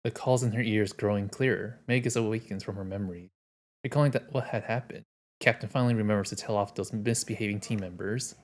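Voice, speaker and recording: A man's voice: fairly low pitch, fairly even intonation, quick pace. A man reading a video game's story text aloud as he streams. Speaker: game streamer.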